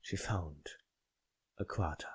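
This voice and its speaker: A man's voice: low-pitched, slow, slightly rough. A man reading a visual novel aloud and commenting on it, stumbling over words now and then. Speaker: video game player reading aloud.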